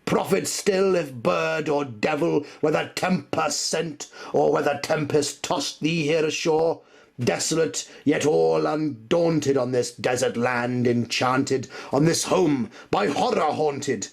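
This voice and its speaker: A man's voice: fairly high pitch, slow pace, gravelly, pitched above the performer's own voice, exaggerated voice. A man playing a grief-stricken, anguished man who pleads and rages at an ominous bird in a theatrical Gothic poetry recitation. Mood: desperate pleading.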